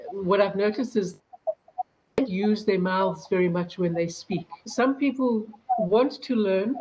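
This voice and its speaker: A woman from South Africa, an English tutor, explains things in a measured way over a video call. The line carries clear background noise under her mid-pitched voice. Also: darkish tone, poor audio. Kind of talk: casual video-call chat.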